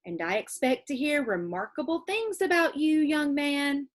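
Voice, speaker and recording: A woman's voice: high-pitched, expressive, pitched above the performer's own voice. Woman voicing a proper, lilting older schoolteacher. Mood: proud, encouraging. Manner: deliberate, formal, emphatic. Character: older female schoolteacher, proper, commanding yet kindly.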